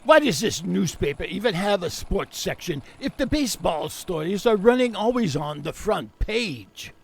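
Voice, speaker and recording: A man's voice: high voice, big pitch swings, normal speed, creaky, breathy edge, full character voice. An actor playing a grumpy male sports editor in a 1920s newsroom who complains indignantly.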